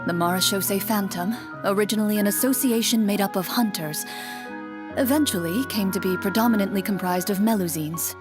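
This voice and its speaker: A woman playing a composed young swordswoman and game master in a video game, speaking in a measured, formal, matter-of-fact way. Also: mid-range voice, over music, close to an everyday voice. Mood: composed, explanatory.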